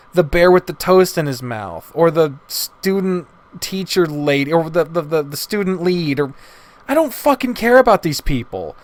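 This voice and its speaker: A man delivering a casual, blunt, exasperated rant full of swearing, as a reviewer giving his opinions. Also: on the high side. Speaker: reviewer of visual novels.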